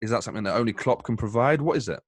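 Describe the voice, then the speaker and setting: A man's voice: mid-range voice, rapid-fire, no echo. A man hosting a casual football podcast, asking long, jokey questions. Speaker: podcast host.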